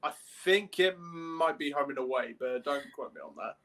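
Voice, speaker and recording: A young man speaks a little higher than average. He co-hosts a casual podcast, putting his points across informally and somewhat tentatively. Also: animated, moderate pace, a bit bright. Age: young adult. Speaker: podcast co-host. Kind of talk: casual discussion. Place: indoor room at home, on video call.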